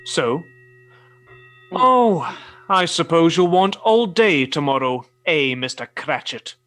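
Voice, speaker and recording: A man's voice: fairly high pitch, expressive, moderate pace, sharp tone, faint music behind. A man playing a cold, irritable old miserly businessman in a radio play, with a curt, grumbling, scornful delivery. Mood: grudging, scornful.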